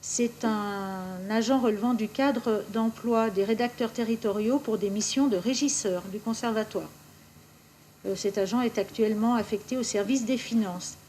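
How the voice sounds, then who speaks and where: A woman's voice: fairly high pitch, normal speed, a little mellow, a little hiss or hum, low-quality recording. A woman presenting a formal report and giving explanations as an official at a council meeting.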